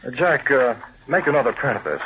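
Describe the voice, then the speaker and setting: A male actor as a shrewd, composed detective from a vintage radio mystery. He speaks mid-pitched and with measured authority. The old recording is muffled with faint hiss. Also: lively intonation, close to an everyday voice. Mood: measured, commanding. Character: calm, shrewd male investigator in charge. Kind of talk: old-time radio crime drama acting.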